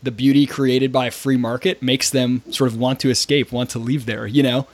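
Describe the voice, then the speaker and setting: A man's voice: medium pitch, flat delivery, normal speed, no room sound. A man hosting an interview podcast, talking casually with plenty of fillers and restarts as he introduces his guest and asks questions. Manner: chatty, with frequent restarts and fillers. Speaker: podcast host.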